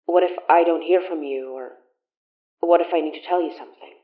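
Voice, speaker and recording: A woman's voice: low voice, a little fast, natural voice. Audio drama: young female lead woman acting, tired yet defiant, wry and plainspoken, narrow-band echoey recording. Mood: weary, frustrated. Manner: casual, wry, blunt. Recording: muffled phone-like sound, roomy echo.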